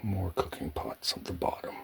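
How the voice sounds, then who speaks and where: A man giving a soft-spoken ASMR home tour in a hushed, halting way, often slipping into a whisper. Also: slight background noise. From Canada. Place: indoors at home, in a pantry.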